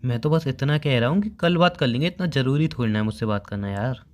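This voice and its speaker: A man's voice: mid-range voice, quick pace, lightly acted. A young man playing a hurt, sulky boyfriend in an everyday phone-call drama, sarcastic and reproachful. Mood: sulky, resigned.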